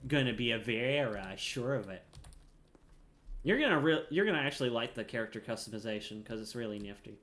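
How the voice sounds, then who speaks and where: A man's voice: mid-range voice, lively intonation, brisk, fairly bright tone. A man giving casual, playful gameplay commentary, tossing in silly interjections as he goes. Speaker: streamer.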